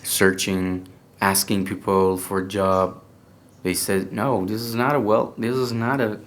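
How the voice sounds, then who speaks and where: A young man from Iran with an Iranian accent, speaking English as a second language with a native Persian background, telling a personal story in a casual, halting way full of restarts. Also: fairly low pitch, level tone. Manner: halting, hesitant English with many restarts and fillers. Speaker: podcast speaker.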